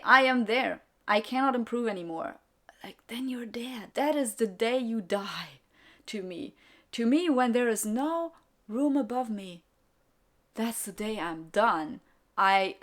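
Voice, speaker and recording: A young adult woman hosting her own podcast, English not her first language, talking bluntly and candidly in a solo monologue with sarcastic asides. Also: mid-range voice. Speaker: podcast host and YouTuber.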